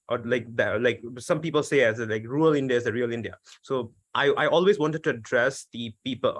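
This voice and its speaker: A man's voice: medium pitch, a bit bright, no room sound. A young man from Bangladesh answering on a webinar panel, talking earnestly with lots of "like" fillers and restarts. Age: young adult. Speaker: startup team member, economics student. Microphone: video call.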